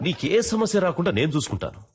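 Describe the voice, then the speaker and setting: A man's voice: fairly high pitch, very animated, racing, slight background noise. An actor playing a pompous, blustering government minister and father in a film drama. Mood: bargaining, self-important. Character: blustering, self-important home minister, anxious father.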